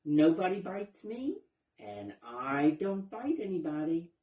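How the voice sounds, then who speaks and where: A woman reading a storybook as a mild, peace-loving young male wolf, gentle and a little hesitant. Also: fairly low pitch, sing-song, slow, highs cut off. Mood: placid. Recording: roomy, echoey sound.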